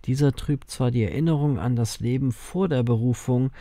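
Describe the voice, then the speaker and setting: A man's voice: mid-range voice, moderate pace, warm tone, dry recording, lightly acted. A man playing a grim, world-weary ferryman reaper, narrating a horror audio drama tersely and wryly.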